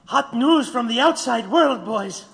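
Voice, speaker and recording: An actor plays an urgent young-spirited newsboy in an audio drama. His male voice is high, eager and full of hope, echoing through a hollow space. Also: animated, measured pace, gravelly, fairly bright tone, exaggerated voice. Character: eager, hopeful newsboy ally visiting prisoners. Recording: long reverb.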